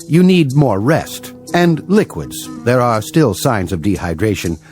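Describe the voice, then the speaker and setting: An actor playing a calm doctor in a radio drama, reassuring and clinical in manner. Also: male voice, mid-range voice, measured pace, music in the background. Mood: firm, clinical. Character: calm, measured male doctor.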